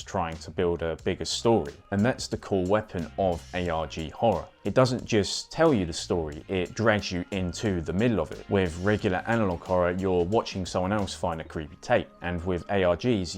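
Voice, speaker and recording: Low-pitched man narrating a video essay. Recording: voice-over with background music. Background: music.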